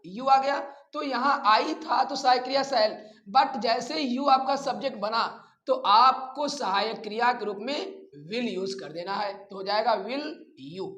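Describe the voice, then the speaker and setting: Slow-paced Indian man teaching in an echoey room. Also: very high voice, a bit bright. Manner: deliberate, repeats phrases, addresses students directly. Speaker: English grammar teacher. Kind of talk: instructional lesson, explaining step by step.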